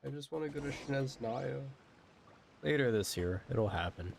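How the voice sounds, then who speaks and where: A woman's voice: very deep voice, expressive, normal speed, gravelly, a little mellow, slight background noise. A woman giving casual, slangy livestream commentary while gaming, laid-back and muttering her reactions. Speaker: game streamer. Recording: game music behind.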